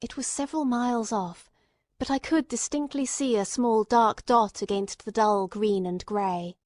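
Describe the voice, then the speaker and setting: A woman reading scripted literary narration as an audiobook narrator, in a measured, carefully phrased storytelling manner. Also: on the high side, a little mellow, dry recording, studio quality.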